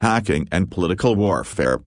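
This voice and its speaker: Low-voiced man reading a news report. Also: moderate pace, dry recording, studio quality. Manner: steady, formal read-through. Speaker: narrator. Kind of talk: scripted news narration.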